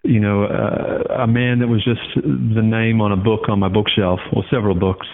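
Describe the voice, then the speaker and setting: A man's voice: lowish voice, fairly even intonation, moderate pace, gravelly. Guest, Australian man, 30s, chatty and rambling, phone-line sound. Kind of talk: casual interview over the phone.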